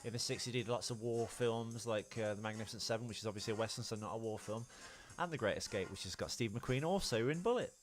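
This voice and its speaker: A man's voice: fairly low pitch, quick pace, few pauses. A man co-hosting a podcast in casual film chat, rambling through opinionated film-buff explanations, with soundtrack music behind him. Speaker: podcast co-host. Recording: music playing behind the talk.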